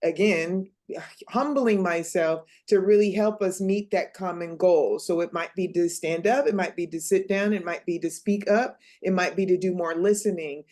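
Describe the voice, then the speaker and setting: A woman's voice: fairly low pitch, normal speed, a little mellow. Earnest woman reporting back on a call. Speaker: fellow reporting back from a small group. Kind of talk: casual group discussion. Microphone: video call.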